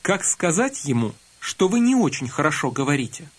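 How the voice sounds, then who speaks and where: A man narrating a scripted language lesson, calmly prompting the listener to repeat phrases. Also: a little high, normal speed, slightly rough. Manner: calm instructive prompting. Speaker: language course instructor.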